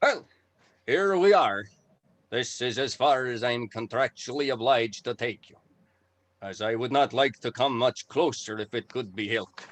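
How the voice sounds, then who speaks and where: A man's voice: medium pitch, a little slow, pitched below the performer's own voice, close to an everyday voice. A man playing a gloomy, reluctant guide to a foreboding house in a comedy table read, speaking in a wry, theatrical way. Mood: wary, wry.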